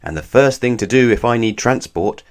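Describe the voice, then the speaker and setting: A man's voice: mid-range voice, expressive, moderate pace, close to an everyday voice. A man voicing a cheerful, polite and eager Christmas character in a children's storybook. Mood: musing. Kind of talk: children's storybook read-aloud.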